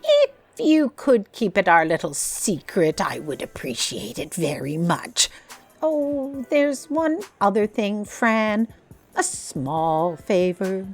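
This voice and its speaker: A woman's voice: mid-range voice, big pitch swings, slow pace, soft background music, exaggerated voice. A woman playing a haughty, forgetful editor-in-chief in a comedic audio drama, speaking formally and imperiously. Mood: graciously formal. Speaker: voice actor.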